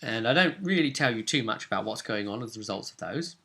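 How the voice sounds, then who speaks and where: A man's voice: on the low side, animated, quick pace, pushed and bright. A man casually recapping a tabletop role-play session as game master, rambling along and often seeking agreement with tag questions.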